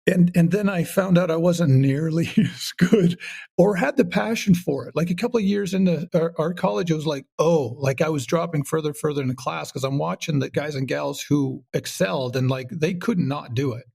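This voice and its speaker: A man's voice: fairly high pitch, normal speed, creaky, a little mellow, husky. A man chatting casually as an interview guest, talkative, rambling and self-deprecating. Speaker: podcast guest, writer and audio director.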